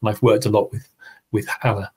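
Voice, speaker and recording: A man's voice: on the low side, flat delivery, brisk, darkish tone, breathy edge. A man presenting an online teaching seminar, talking his audience through his slides. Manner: explanatory, talks through slides. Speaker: webinar presenter.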